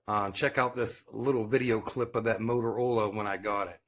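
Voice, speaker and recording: A man's voice: on the low side, fairly even intonation, a little fast, a bit bright, no echo. An American man giving a casual show-and-tell narration as a hobbyist video host.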